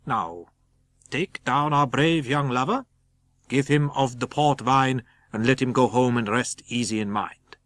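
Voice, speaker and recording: A man voicing a grave, kindly foreign professor, speaking with deliberate emphasis and quaint non-native phrasing in an audiobook. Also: medium pitch, fairly bright tone, no echo, close to an everyday voice. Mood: calm, instructing.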